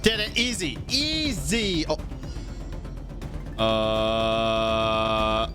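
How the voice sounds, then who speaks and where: A young man doing casual, slangy game-stream commentary, reacting out loud and swearing freely. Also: lowish voice, very slow, music in the background.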